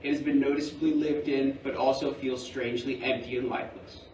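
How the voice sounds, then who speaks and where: Male stage-directions narrator, measured and deliberate, roomy distant sound. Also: mid-range voice, flat delivery, a little mellow. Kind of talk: scripted narration at a staged play reading. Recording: roomy, distant mic, faint noise.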